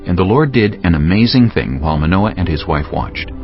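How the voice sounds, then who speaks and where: A man's voice: low voice, lively intonation, a little fast. A man narrating a dramatized reading of scripture as the storyteller. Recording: dull band-limited sound. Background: background music.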